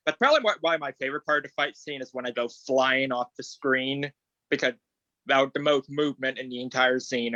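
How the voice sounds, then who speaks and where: A man's voice: medium pitch, a bit bright, no echo. A man, an actor, explaining things casually in a video call discussion. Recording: dull lo-fi video call audio.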